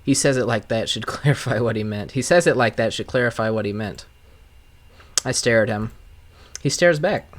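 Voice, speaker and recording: A man's voice: medium pitch, moderate pace. A man reading a visual novel aloud as scripted narration. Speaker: gaming streamer. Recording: clear music playing behind.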